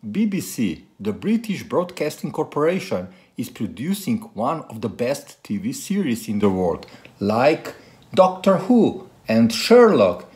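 Animated man vlogger, lively pitch swings. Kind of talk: presenting to camera and narrating a car tech video.

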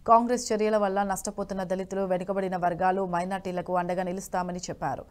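Brisk, smooth-voiced young woman anchor. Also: mid-range voice, little pitch movement. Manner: brisk formal studio delivery. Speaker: news anchor. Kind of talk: news reading. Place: television news studio.

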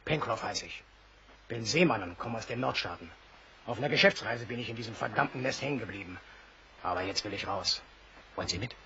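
Radio-play sailor: brash, hearty, colloquial, heavy gravel in the voice, muffled hissy sound. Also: male voice, on the low side, expressive, fairly quick, bright, forceful tone. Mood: brash, urging. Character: brash, warm-hearted Northern sailor eager to escape. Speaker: voice actor. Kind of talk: German audio drama acting. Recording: dull, hissy old recording.

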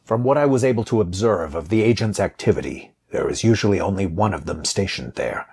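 An actor playing a weary, stern guardian in an audio drama, with a husky voice and a grave, terse manner. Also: male voice, sits low, lively intonation, brisk, a little mellow, heavily acted. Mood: grim, urgent. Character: weary, stern, protective male guardian on the run.

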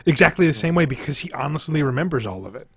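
A young man hosting a casual video-call podcast, laughing often and pausing with fillers, talking through a headset from a small room. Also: on the high side. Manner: relaxed, laughing, hesitant with fillers. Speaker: podcast host. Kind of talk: casual chat reviewing a TV episode.

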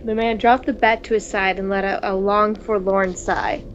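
A woman co-hosting a gaming video, reading visual novel text aloud in a playful, expressive way with casual asides. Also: mid-range voice, normal speed. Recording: dull, noisy home recording.

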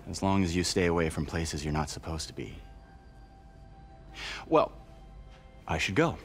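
An actor playing a middle-aged businessman, polite and measured with veiled menace, in an understated video game style. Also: low-pitched, expressive, faint music behind. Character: composed, veiled-menace businessman and shelter boss.

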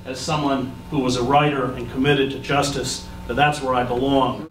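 A man telling a personal story on stage into a handheld mic, measured and dryly humorous. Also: mid-range voice, flat delivery, a little hiss or hum. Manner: wry, measured, dry humor. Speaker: guest speaker. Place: large theater stage. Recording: echoey hall, mic heard from far.

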